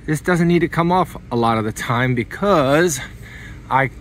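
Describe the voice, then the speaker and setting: A man talks through a hands-on demonstration in a casual, unscripted way. His phrases come short and halting as he works. He is recorded outdoors on a handheld phone, with some light background noise. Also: on the high side. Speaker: hobbyist demonstrating an antenna installation. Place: outdoors beside a car in a residential driveway. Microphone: handheld phone filming the car.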